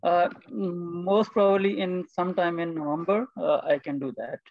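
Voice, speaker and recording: A man's voice: high voice, steady tone, normal speed, dry recording, dull audio. Hesitant man from Pakistan on a video call. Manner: hesitant, many restarts. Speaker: PhD candidate introducing himself. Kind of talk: online meeting introduction.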